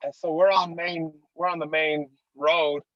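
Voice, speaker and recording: A man's voice: a little high, little pitch movement, measured pace, dry recording, lo-fi sound. Young guy from utah on a podcast, jokey laid back stories, dry close sound. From Utah, United States. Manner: joking, easygoing storyteller. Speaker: podcast guest. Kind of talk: casual interview storytelling.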